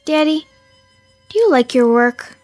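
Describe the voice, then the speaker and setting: An actress in a radio drama playing an earnest, caring teenage daughter who questions anxiously. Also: female voice, sits high, expressive, measured pace, darkish tone, soft background music. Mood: concerned. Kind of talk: naturalistic radio drama acting.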